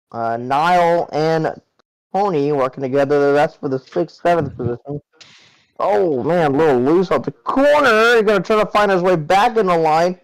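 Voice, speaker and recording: Smooth-voiced male race announcer. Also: a little high, poor audio. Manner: chatty, conversational race calling. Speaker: play-by-play commentator. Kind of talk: sim racing broadcast commentary.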